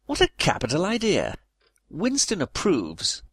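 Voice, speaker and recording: Male actor as pompous British statesman, sentimental and effusive; husky tone, extremely animated pitch, audio comedy. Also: a little slow, no echo, full character voice. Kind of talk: comedic audio drama acting.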